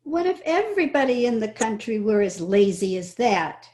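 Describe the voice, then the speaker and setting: A woman's voice: a little high, very animated, moderate pace, darkish tone. A woman playing an earnest, reproachful young niece in an old-time radio comedy. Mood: shocked, reproachful.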